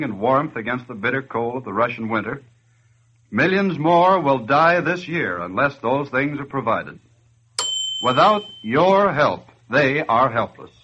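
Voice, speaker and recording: A man's voice: mid-range voice, animated, unhurried, sharp tone, highs cut off, a little hiss or hum. A man playing an earnest wartime relief speaker, solemn and admonishing in 1940s radio style. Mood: solemn urging.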